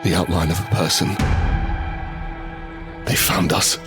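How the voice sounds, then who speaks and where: An actor playing an anguished, guilt-ridden survivor in a post-apocalyptic audio drama, brooding and halting, in a hushed confessional manner. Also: male voice, deep voice, steady tone, music in the background. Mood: tense, hushed. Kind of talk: dramatic first-person audio drama narration.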